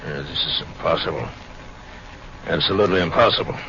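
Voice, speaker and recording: A man's voice: extremely low pitch, fairly quick, pushed and bright, exaggerated voice. A man playing a tough, wry head lighthouse keeper who barks clipped orders in an old-time radio horror drama. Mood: tense, curt. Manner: barking orders, clipped, sardonic. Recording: dull, hissy vintage radio recording.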